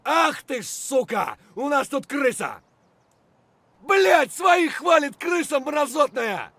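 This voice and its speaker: An aggressive, coarse soldier from a military shooter game. His callouts are short and punchy, with big swings in pitch, and the recording is dry and close. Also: very animated, slow pace, a bit bright, no echo, heavily acted. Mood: furious, shouting. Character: aggressive, foul-mouthed Russian mercenary soldier in combat. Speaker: video game voice actor. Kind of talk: military shooter combat barks.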